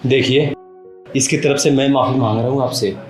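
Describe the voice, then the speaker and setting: An actor playing a polite young man who speaks gently and apologetically. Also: medium pitch, fairly even intonation, moderate pace, natural voice. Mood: placating. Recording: roomy echo with faint music.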